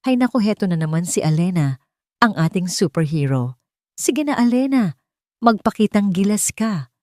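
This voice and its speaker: A woman's voice: mid-range voice, sing-song, moderate pace, darkish tone, no room sound, clean studio sound, voice pushed up, natural voice. A woman voicing an older, sycophantic female nurse who mocks a coworker with sarcasm. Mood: mocking. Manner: sarcastic, mocking.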